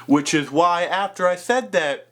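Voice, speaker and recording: A young man with a loud voice telling a comedic story on his vlog, swinging between mumbled asides and loud exclaims. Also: sits high, a little slow. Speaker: vlogger.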